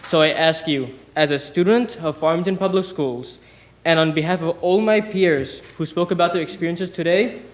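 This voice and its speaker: A teenage male student with a fairly high voice delivers a prepared speech in a meeting room. He is earnest and formal, keeping a measured, public-speaking delivery. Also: a little hiss or hum. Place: school board meeting room.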